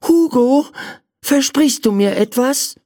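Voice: lively intonation, slow, a little mellow, no echo, studio quality, full character voice. Narrator doing a bossy little boy prince. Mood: whiny. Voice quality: high, childlike. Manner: demanding, then whiny. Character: young boy prince, playful and stubborn.

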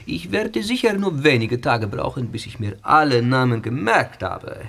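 An actor playing a friendly, slightly nervous young history teacher from northern Italy, speaking. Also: male voice, medium pitch, expressive, normal speed, creaky. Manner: polite, warm, explaining. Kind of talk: audio drama acting.

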